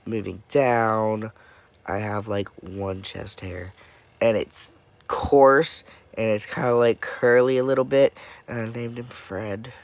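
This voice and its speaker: Slow, halting young man with a cold. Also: lowish voice, steady tone, no echo. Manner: halting, many pauses and fillers. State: congested, coming down with a cold; dead tired. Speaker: vlogger. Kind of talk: casual personal update.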